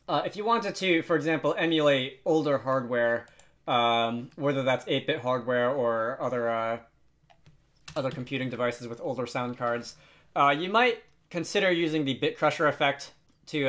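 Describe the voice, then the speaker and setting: A man's voice: mid-range voice, a bit bright. A man presenting an unscripted game development tutorial in a casual, hesitant, rambling and self-deprecating way.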